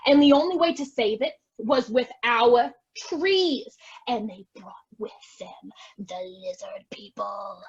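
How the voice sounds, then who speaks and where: A woman's voice: very high-pitched, a bit bright, lo-fi sound, full character voice. A woman playing a defensive, melodramatic estate heiress in an amateur online stage farce, theatrical and exclaiming. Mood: alarmed, conspiratorial. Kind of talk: amateur stage farce acting over video.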